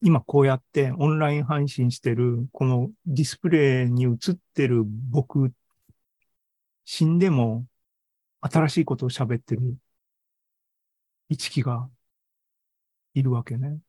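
Mellow-voiced man thinking aloud. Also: medium pitch, measured pace, long pauses, no room sound. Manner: conversational, seeks agreement with frequent sentence-end tags. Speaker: presenter of an online AI seminar and podcast. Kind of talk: informal tech talk, thinking aloud. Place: indoors, live online video meeting.

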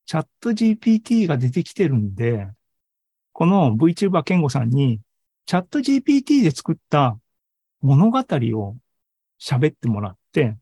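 Mellow, husky man presenting informally. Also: on the high side, no room sound. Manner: conversational, with frequent tag particles and asides. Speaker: presenter at an AI hobby forum and podcast host. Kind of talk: informal live tech presentation over an online meeting. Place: online Zoom live session.

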